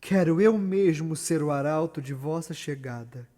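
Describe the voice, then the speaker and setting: Man acting a loud, majestic king, Brazilian accent. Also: fairly high pitch, moderate pace, close to an everyday voice. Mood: proclaiming, regal. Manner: grand, effusive, proclaiming. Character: grateful, majestic king addressing his nobles. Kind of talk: theatrical Shakespearean acting. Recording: reverberant room with long echo.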